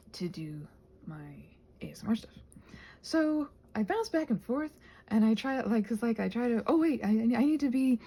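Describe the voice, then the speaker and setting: A woman in a casual, rambling ASMR vlog, soft-spoken and self-deprecating, thinking aloud in a meandering way and sometimes dropping toward a whisper. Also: on the high side, vocal fry, a little hiss or hum. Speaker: ASMR creator rambling while crafting.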